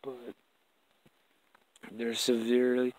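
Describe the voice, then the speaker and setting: Man, medium pitch, blunt and sweary, self-filming camper, outdoor handheld sound. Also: monotone, slow pace, darkish tone, rough recording. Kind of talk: casual camping vlog talk, swearing. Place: outdoors in woods at night. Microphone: handheld camera he is holding.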